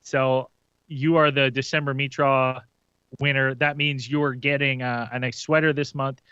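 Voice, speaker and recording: A man's voice: mid-range voice, flat delivery, unhurried, pushed and bright, clear, resonant tone, no echo, muffled recording. A man co-hosting a casual group podcast, rambling through announcements and anecdotes. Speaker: podcast co-host.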